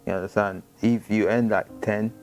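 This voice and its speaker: A man's voice: fairly low pitch, normal speed, soft background music. A man, chatting casually and slangily on a podcast, often acting out quotes. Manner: slangy, animated storytelling with acted quotes. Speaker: podcast host. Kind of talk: casual chat.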